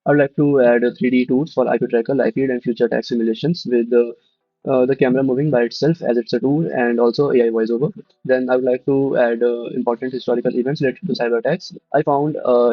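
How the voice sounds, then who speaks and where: A man's voice: medium pitch, no echo. A young man presenting a demo of his student app, explaining steadily with occasional "uh" fillers. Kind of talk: screen-recorded project demo. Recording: dull dry lo-fi recording.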